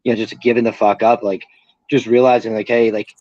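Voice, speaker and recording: A man's voice: lowish voice, level tone, a little fast, mellow, no echo, lo-fi sound. A young man in recovery, talking candidly and casually as an interview guest, rambling with lots of fillers and swearing freely. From Pennsylvania.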